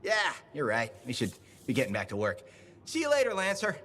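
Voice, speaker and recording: A man's voice: medium pitch, wildly swooping pitch, fairly quick, pushed and bright, a little hiss or hum. An actor playing an eager, needy, over-friendly chatty man in a video game. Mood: eager, chummy. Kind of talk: video game character acting.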